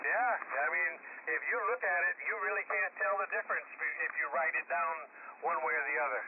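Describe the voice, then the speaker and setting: Man, middle-aged, higher-pitched voice, halting stammering speech, casual ham radio chat, thin shortwave transmission. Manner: hesitant, stammering with repeated words. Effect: shortwave single-sideband radio transmission. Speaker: amateur radio operator. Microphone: radio transceiver microphone, received over the air. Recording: tinny shortwave radio link with heavy static.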